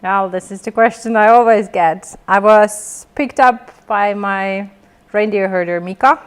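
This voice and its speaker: A woman from South Finland whose first language is Finnish, a tourism business owner chatting outdoors in a relaxed, matter-of-fact way with a light humor. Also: medium pitch, a little slow, clear, resonant tone. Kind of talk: casual interview chat. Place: outdoors by a river in Lapland.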